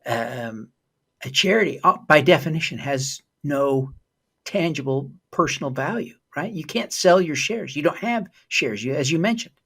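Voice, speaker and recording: A man's voice: fairly high pitch, expressive, moderate pace, vocal fry, sharp tone, husky. A male show host who introduces his guest and asks reflective questions with enthusiasm and frequent fillers. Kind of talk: podcast interview host.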